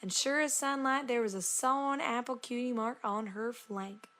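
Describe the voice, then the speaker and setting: A woman's voice: a little high, normal speed, fairly bright tone, lightly acted. A woman voicing an earnest country farm pony, plainly reading a letter aloud. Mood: proud. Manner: folksy, plainspoken. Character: earnest, down-to-earth young country farm mare, cartoon pony.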